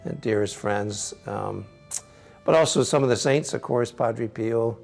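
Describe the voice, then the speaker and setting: A middle-aged Scottish man, a priest, answering interview questions in a gentle, reflective way with frequent soft laughs. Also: mid-range voice, unhurried, gravelly, faint music behind. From Scotland.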